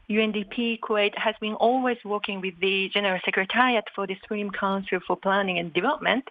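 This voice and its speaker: A dry, dull phone line carries a woman speaking as an official guest. She gives formal, prepared answers in an interview at a middle pitch. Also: creaky, no room sound. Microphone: phone call.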